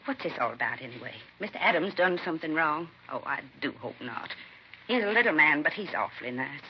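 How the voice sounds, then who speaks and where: A woman's voice: deep voice, animated, a little fast. An actress playing a chatty, fretful woman who rents out rooms, talking in a folksy, rambling way in an old radio crime drama. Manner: folksy, rambling, homespun speech. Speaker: witness. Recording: muffled vintage radio broadcast with faint hiss.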